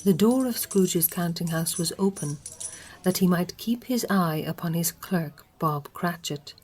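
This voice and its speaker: An Irish woman narrating a radio play in a slow, confiding storytelling way. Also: lowish voice, a little mellow, husky.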